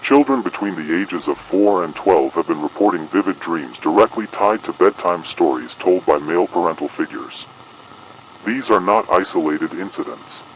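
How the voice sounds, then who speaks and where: A man playing an official announcer delivering a measured, formal, ominous emergency advisory in an analog horror broadcast. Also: low-pitched, animated, highs cut off, a little hiss or hum. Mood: grave, ominous. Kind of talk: scripted analog horror public broadcast narration.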